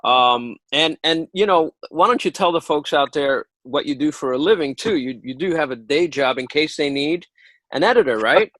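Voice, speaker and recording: A man's voice: fairly high pitch, lively intonation, normal speed, a bit bright, no echo. A man hosting a casual interview, talking in an encouraging, complimentary way. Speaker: interview host.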